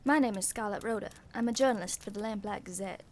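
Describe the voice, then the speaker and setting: A woman playing a wry, suspicious young journalist who narrates an amateur radio mystery in a deliberate, dry way. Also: medium pitch, a little mellow, close to an everyday voice. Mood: cool. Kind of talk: scripted first-person mystery narration. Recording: faintly noisy home recording.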